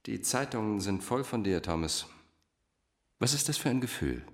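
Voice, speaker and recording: An actor playing a cold, manipulative doctor in a crime radio drama, speaking in a measured, condescending, commanding way. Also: male voice, fairly low pitch, lively intonation. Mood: calm, commanding. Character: controlling male doctor, cold manipulative cult-like mastermind. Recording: music playing behind the voice.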